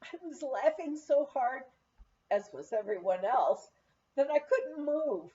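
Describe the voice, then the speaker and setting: Slow, high-pitched woman reading with lively swings. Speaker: audiobook reader. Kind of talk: memoir read aloud, storytelling. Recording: slightly dull, lo-fi recording.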